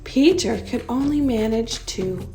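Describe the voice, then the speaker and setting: A woman narrates a children's picture book in an expressive storytelling style. Her voice is fairly high and mellow, and she takes her time over each phrase. Music plays softly along with her. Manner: unhurried, expressive read-aloud delivery.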